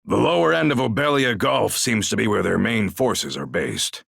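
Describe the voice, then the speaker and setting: An actor playing a brash, blunt Norman warrior chief in a video game, gravelly and husky, with a gruff, folksy, commanding way of talking. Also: fairly low pitch, expressive, moderate pace, fairly bright tone, no echo, clean studio sound, heavily acted. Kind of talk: video game cutscene acting.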